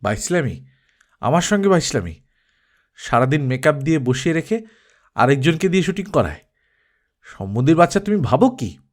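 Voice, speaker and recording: A man's voice: a little high, very animated, normal speed, long pauses. A man voicing a drunk, furious, self-important male film star in an audiobook story, ranting and abusive. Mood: drunken rage. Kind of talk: audiobook character acting.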